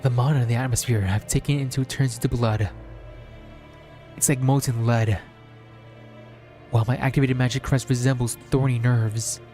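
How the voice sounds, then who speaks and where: A man reads a visual novel aloud as dramatic first-person narration, measured and solemn, in short deliberate phrases. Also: mid-range voice, steady tone, dark tone. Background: background music.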